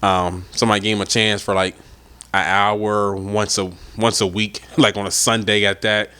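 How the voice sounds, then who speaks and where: A young man talks loosely on his own podcast, rambling and swearing as he goes. His voice sits a bit low and sounds slightly stuffed up from a cold. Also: little pitch movement, normal speed, bright, forceful tone, smooth. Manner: rambling, profane, laid-back. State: stuffy nose from a head cold. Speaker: podcast host. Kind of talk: casual solo podcast talk.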